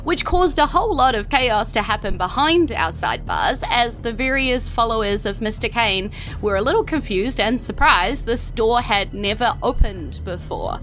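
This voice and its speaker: Bright, high-pitched New Zealand woman hosting. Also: moderate pace, few pauses, faint music behind, low-quality recording. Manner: excitable, playful, theatrical. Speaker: podcast host and game master. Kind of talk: live-streamed tabletop game narration and chatty hosting.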